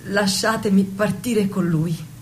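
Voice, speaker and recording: A woman's voice: mid-range voice, a little mellow, natural voice. Woman acting dignified young noblewoman, noisy recording. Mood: earnest, pleading. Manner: formal, measured declamation. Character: devoted young noblewoman, dignified daughter and bride. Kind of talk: stage-style radio drama acting.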